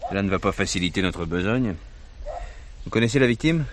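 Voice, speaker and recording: Quick, low-voiced police detective. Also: male voice, animated, background noise. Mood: composed, in charge. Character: male police detective, cautious, composed, in charge. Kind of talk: French radio crime drama acting.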